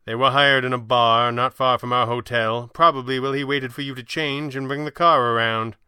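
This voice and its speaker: A composed male crimefighter with dry wit, voiced by a man reading an audio drama. He is articulate and measured, with a bright, very clean tone in a dry, close recording. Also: mid-range voice, fairly even intonation, clear, resonant tone, dry recording. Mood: dry, explanatory.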